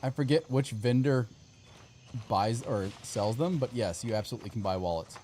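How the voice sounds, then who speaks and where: A man's voice: mid-range voice, moderate pace, warm tone. A man streaming a video game, giving casual commentary and chatting with viewers, sometimes muttering to himself. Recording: clear background game ambience noise.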